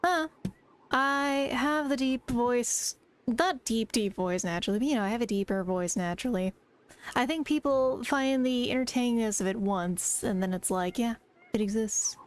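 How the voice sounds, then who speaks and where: Brief: woman streamer, slightly high voice, rambling casual chatter aimed at chat. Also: normal speed.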